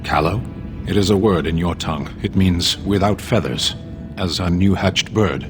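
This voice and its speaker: Stoic game warrior, played by a male actor: very low, curt, formal and deadpan, music clearly behind. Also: normal speed, natural voice. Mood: stoic, dry.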